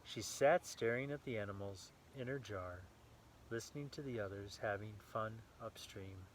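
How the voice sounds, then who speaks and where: A man reading a children's story aloud with animated enthusiasm, adding casual asides to young listeners. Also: fairly low pitch, moderate pace, a little hiss or hum.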